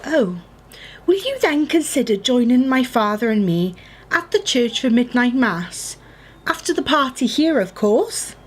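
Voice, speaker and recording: A woman's voice: a little high, big pitch swings, measured pace. An actress in a radio play as a frank, insistent young woman, a daughter standing up for herself. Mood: earnest. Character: reluctant, defiant engaged daughter, frank with her father.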